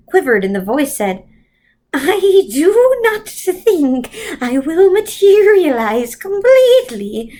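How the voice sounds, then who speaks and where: Female storyteller for a kids' novel read-aloud: high voice, very lively intonation, expressive delivery. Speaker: volunteer storyteller reading to children.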